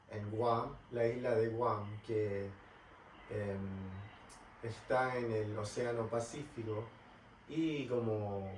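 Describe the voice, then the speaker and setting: A young man from California speaking with an Argentine accent in a casual vlog, halting and searching for words, recorded in a rental apartment. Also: fairly low pitch, darkish tone, a little hiss or hum. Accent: Argentine Spanish. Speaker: travel vlogger. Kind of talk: casual talk to camera. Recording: roomy, distant mic, faint ambience.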